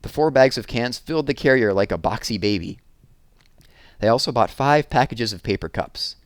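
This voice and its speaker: A man with a fairly low voice narrates a children's book in a read-aloud style. He works as a storyteller, and the sound is dry with little room in it. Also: no room sound.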